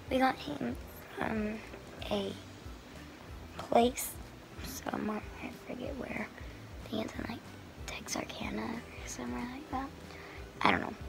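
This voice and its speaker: A young teenage girl chatting casually in a home vlog. Her voice is hoarse and she rambles in a soft, breathy way. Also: on the high side, slow, pauses often, in a whisper, poor audio. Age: young teen girl. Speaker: vlogger. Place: bedroom at home. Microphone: phone camera held close.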